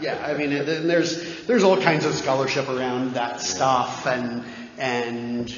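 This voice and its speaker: Chatty priest in an echoey hall. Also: male voice, medium pitch, fairly even intonation, measured pace. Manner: conversational, keeps checking in with "right?". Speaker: parish priest answering questions. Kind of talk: casual Q&A talk. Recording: echoey dull church hall recording.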